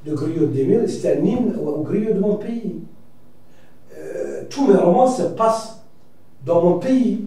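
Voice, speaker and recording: Mauritanian guy, mellow and a bit high, thinks out loud with lots of restarts, far from the mic in a booming room. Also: expressive, measured pace, heavy reverb. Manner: reflective, hesitant, many restarts and fillers. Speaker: writer, debate guest. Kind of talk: debate discussion.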